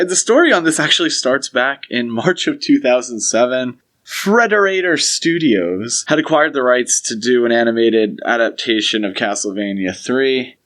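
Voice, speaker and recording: A man's voice: mid-range voice, fairly bright tone. A man co-hosting a casual podcast discussion, explaining things in an opinionated way. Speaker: podcast co-host.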